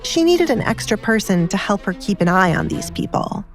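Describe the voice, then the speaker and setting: A woman's voice: medium pitch, sing-song, normal speed. A woman reading scripted story narration as an audiobook narrator. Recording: music behind the voice.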